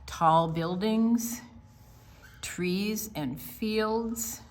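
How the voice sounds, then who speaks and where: An older woman co-hosting a children's storytime, explaining and reading aloud deliberately, with emphasis on single words. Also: mid-range voice, a little mellow. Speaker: children's storytime co-host and reader.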